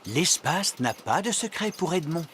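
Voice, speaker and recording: Animated cartoon narrator. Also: male voice, on the high side, a little slow, heavy background noise. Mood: cheerful, explanatory. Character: calm storybook narrator of children's cartoon. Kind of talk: scripted narration, French cartoon dub.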